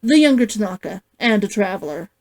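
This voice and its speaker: A woman's voice: medium pitch, expressive, brisk, close to an everyday voice. A woman voicing a dutiful, practical monster hunter woman who speaks firmly and matter-of-factly in a story. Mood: businesslike.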